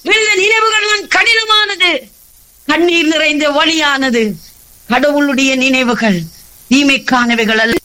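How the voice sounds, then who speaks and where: High, bright-voiced preacher. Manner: emphatic, exhortatory, with rhetorical repetition. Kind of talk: sermon.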